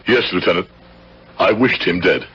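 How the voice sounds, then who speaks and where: An actor playing a guilt-ridden, unwell man confessing, husky and halting, in a radio drama. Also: deep voice, fairly bright tone, slight background noise. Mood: guilty, strained. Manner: halting, intense, insistent. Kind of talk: 1970s radio drama acting. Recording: dull radio recording.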